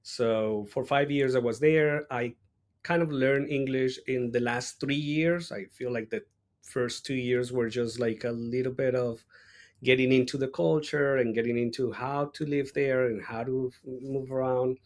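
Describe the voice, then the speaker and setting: Slow-talking man with a Colombian accent. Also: mid-range voice, steady tone. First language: Spanish. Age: late 30s. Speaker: interview guest, language teacher. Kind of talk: reflective personal interview talk.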